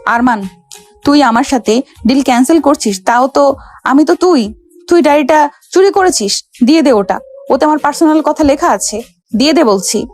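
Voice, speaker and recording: A woman acts a bossy young heiress with a very high voice. She is imperious, indignant and quick to scold, in romantic audio drama style with light music underneath. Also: expressive, darkish tone. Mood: angry demanding. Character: haughty, hot-tempered rich young woman, a bossy heiress. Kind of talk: audio love story dramatic acting.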